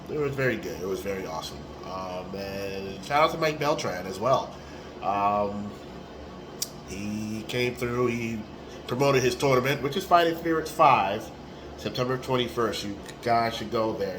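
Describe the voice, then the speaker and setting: Hoarse man rambling into his phone. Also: fairly low pitch, noisy background. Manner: relaxed and rambling, with many ums and restarts. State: hoarse, raspy voice. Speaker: tournament organizer. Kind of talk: casual selfie video recap. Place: small room indoors. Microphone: phone at arm's length.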